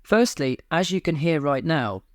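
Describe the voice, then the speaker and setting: Deadpan monotone man, dry and close. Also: fairly high pitch, normal speed, dry recording. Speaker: YouTube channel host. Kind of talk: scripted personal monologue. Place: home.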